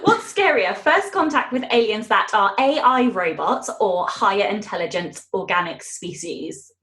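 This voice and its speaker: A woman hosting a casual, playful podcast interview, expressive and teasing in manner, recorded with a roomy sound. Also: medium pitch, moderate pace. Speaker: guest host interviewing the show's host.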